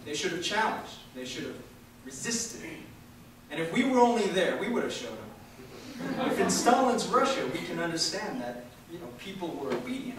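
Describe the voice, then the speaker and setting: A man reading a political speech aloud at a public event in an emphatic, oratorical way, with dramatic pauses. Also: high-pitched, a bit bright. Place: large echoey room at a live university event. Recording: echoey hall from far off, faint noise.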